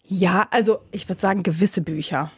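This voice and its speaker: A woman's voice: lowish voice, normal speed, husky, dry recording, studio quality. A woman from eastern Germany chatting casually and reflectively as an interview guest. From Dresden, eastern Germany. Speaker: studio guest, bookseller.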